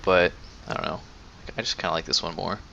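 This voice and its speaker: A man's voice: low-pitched, steady tone, fairly quick, creaky, muffled recording, background noise. A man giving casual, laid-back gameplay commentary with offhand swearing. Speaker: gamer commentator. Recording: loud game music behind voice. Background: video game music and audio.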